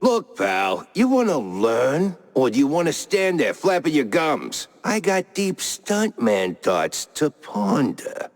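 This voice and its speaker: A smug mock-mystic mouse stuntman, slow and raised in pitch, lurching from serene sage to street wiseguy. A cartoon game guru with extremely swooping intonation, in long cavernous reverb. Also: huge pitch swings, full character voice. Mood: brash, smug. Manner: faux-serene sage slipping into streetwise slang. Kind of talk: cartoon noir game voice acting.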